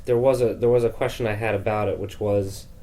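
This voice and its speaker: Low, mellow male podcast host. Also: steady tone, quick pace. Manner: tentative, searching for words, probing. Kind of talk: casual interview conversation.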